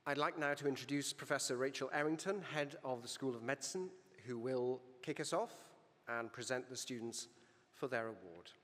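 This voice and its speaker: A man with a Welsh accent delivers a formal, encouraging ceremonial address in a large hall. His pitch sits at a medium level. A long reverb hangs behind his voice. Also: moderate pace, a bit bright. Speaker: university provost. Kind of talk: ceremonial welcome speech.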